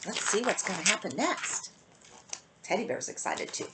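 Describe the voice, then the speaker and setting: A woman reading a rhyming picture book aloud to children in an expressive, sing-song manner, with phrases that often end softly. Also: medium pitch, moderate pace, heavy background noise. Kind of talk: storybook read-aloud for children.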